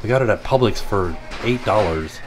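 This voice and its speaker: Lively, playful American man vlogging. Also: lowish voice, moderate pace, over music. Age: middle-aged. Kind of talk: casual vlog chat. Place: mostly inside a busy gift shop.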